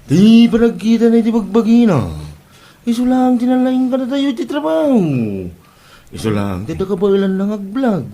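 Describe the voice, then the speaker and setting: A man's voice: very high voice, very animated, normal speed, warm tone, exaggerated voice. A man with an Ilocano accent playing a gossipy, disapproving workmate in a comedy audio drama.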